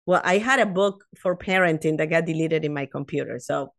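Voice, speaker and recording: A woman in her fifties speaks English as a second language, telling stories in a relaxed interview with plenty of restarts and "you know" asides. Her voice sits a little low for a woman, and her delivery is animated and chatty. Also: a little fast, vocal fry. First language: not English. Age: 50s. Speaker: podcast guest, author. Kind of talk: casual interview chat.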